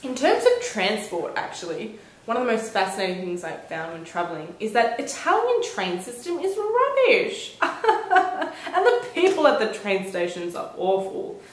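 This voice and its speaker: A young woman chatting casually as a travel vlogger, telling stories in an animated way and acting out bits of dialogue. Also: a little high, normal speed. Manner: animated storyteller, acts out reported dialogue. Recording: roomy echo.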